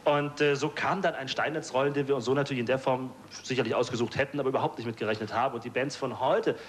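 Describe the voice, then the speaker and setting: A man's voice: medium pitch, steady tone, fast talker, a little hiss or hum. A middle-aged man from Berlin, a studio guest telling his story in a rambling way into a handheld mic. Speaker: studio guest, former band member. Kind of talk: interview answers. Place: TV studio audience seating.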